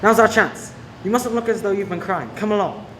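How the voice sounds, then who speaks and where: A man's voice: sits high, fairly quick, a bit bright. A man playing a protective, persuasive police sergeant in love in a radio play. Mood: terse, secretive. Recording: echoey room with faint noise.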